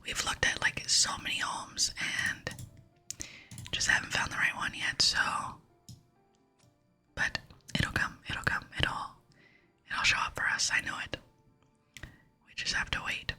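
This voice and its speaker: A young American woman hosts a gaming chat in a breathy ASMR whisper. She is soft and intimate, rambling casually right up at the mic. Her delivery has crisp bright edges, with faint music underneath. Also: measured pace, pauses often. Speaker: host of an ASMR gaming series. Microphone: close microphone.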